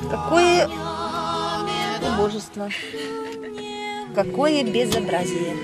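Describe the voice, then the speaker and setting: A woman's voice: mid-range voice, slow pace. A woman from Russia, an actress, reminiscing expressively about her childhood home in a documentary interview, speaking outdoors in a residential neighborhood. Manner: nostalgic and expressive, with emphatic exclamations. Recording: background music under the voice.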